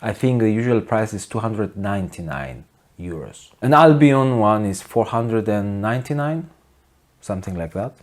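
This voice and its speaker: A man in his forties giving an opinionated video essay to camera in a deadpan, wry manner. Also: lowish voice, moderate pace. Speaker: host of a music production video channel. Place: small home studio, indoors.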